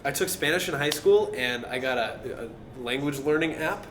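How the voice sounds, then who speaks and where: A man's voice: mid-range voice, expressive, moderate pace, fairly bright tone. A man co-hosting a casual Q&A with joking, playful banter. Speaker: actor co-hosting a Q&A. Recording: echoey room, clear background noise.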